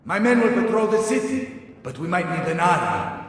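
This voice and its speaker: An actor playing a steady, dutiful militia captain in a video game cutscene. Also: male voice, high voice, moderate pace, big-room sound, a little hiss or hum. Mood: urgent.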